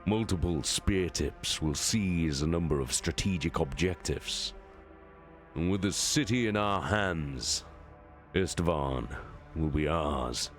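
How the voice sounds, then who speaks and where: A man voicing a regal, calculating male warmaster who gives a measured, authoritative battle briefing. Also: extremely low pitch, soft background music. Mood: commanding.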